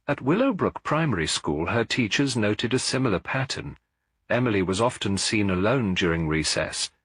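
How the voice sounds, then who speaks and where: A man narrating a detective story as a scripted audiobook, in a measured, formal storytelling manner with a husky voice. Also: sits low, a bit bright, no room sound.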